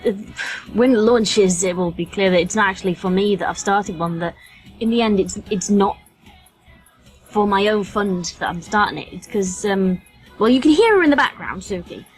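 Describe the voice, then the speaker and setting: A woman's voice: medium pitch, animated, normal speed, soft background music, poor audio. A woman with a British accent chatting casually as a podcast guest, with a wry, self-deprecating manner. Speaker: podcast guest, voice actress and fan fiction writer.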